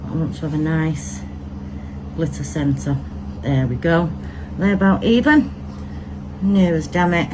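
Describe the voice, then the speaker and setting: Low-voiced Manchester woman, chatty crafter. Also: a little slow, background noise. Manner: chatty, thinks aloud while working. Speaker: resin crafter demonstrating. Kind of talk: casual hands-on tutorial.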